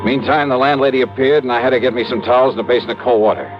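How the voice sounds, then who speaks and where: An actor playing a brisk, wry, tough male insurance investigator in a 1950s radio detective drama, with clipped speech. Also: mid-range voice, natural voice. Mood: alarmed, grim. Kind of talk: 1950s radio detective acting and first-person narration. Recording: dull vintage radio recording, prominent music.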